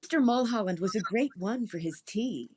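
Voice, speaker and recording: A woman voicing a doting, eerily cozy middle-aged landlady in a story, coaxing and gushing with drawn-out words. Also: medium pitch, animated, darkish tone, a little hiss or hum, close to an everyday voice.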